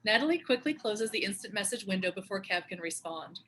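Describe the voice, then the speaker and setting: A woman's voice: medium pitch, expressive, fairly quick, pushed and bright. A woman reading screen directions as scripted narration at a table read.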